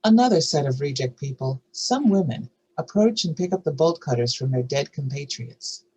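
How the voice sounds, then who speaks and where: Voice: mid-range voice, lively intonation, normal speed, mellow, husky, low-quality recording. A narrator reading scene headings and action lines from a screenplay at a table read. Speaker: narrator reading stage directions.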